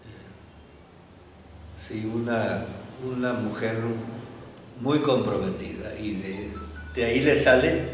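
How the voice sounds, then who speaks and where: An older man reflecting thoughtfully in an interview, speaking haltingly and often checking for agreement with short tag questions. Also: on the low side. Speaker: interviewee, academic anthropologist. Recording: roomy, echoing, distant mic, faint noise.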